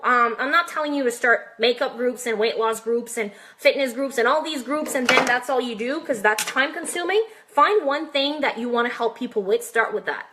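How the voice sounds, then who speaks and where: A woman's voice: high-pitched, pushed and bright. A woman with a French Canadian accent coaching network marketers in a chatty, direct live-stream talk, often checking in with "right?" and questions to her viewers.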